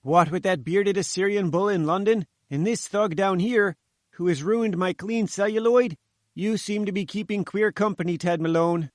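A man's voice: high voice, normal speed, dry recording, studio quality, voice pushed up, close to an everyday voice. A man voicing a fat little male reporter who talks in a plaintive, sputtering, cheeky way. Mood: pleading, cheeky.

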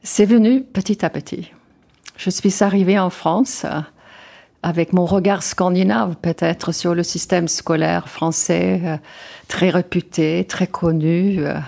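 An older woman with a Swedish accent, answering as an interview guest. Her voice sits low with a gravelly fry, and she picks her words with care, pausing on fillers and restarting phrases. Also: steady tone, dark tone. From Sweden. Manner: thoughtful, hesitant with many fillers and restarts.

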